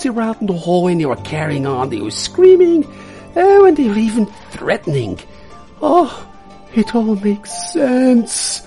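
A haughty noblewoman, played by a woman, speaks in a shrill, high, theatrical voice. Her pitch leaps all over the place, and the tone stays soft and mellow. She is imperious and melodramatic, like a villain in a radio play. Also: full character voice. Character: haughty grieving noblewoman, a duchess.

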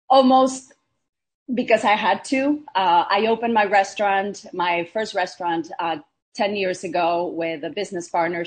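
A woman from Argentina, a chef and guest, talking in a relaxed interview with many hesitations and fillers. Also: on the low side. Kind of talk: casual interview.

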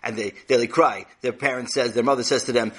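A man's voice: mid-range voice, steady tone, quick pace, fairly bright tone, breathy edge. A man voicing an eager, chatty male teacher who rambles effusively and lectures. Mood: lecturing. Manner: effusive, rambling, talks over others.

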